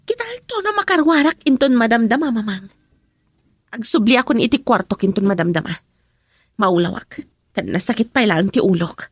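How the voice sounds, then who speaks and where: A resentful married daughter in a Filipino radio drama. Her voice is high and leaps about emotionally as she complains to her mother, recorded close and dry. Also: lively intonation, a little fast, husky, dry recording. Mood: sullen.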